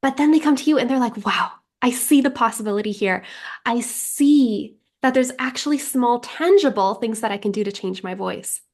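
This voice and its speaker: A woman's voice: on the high side, normal speed. A woman hosting a podcast interview, enthusiastic and reflective, leaning on "like" as she thinks aloud. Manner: enthusiastic, reflective, frequent "like" fillers. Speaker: podcast host.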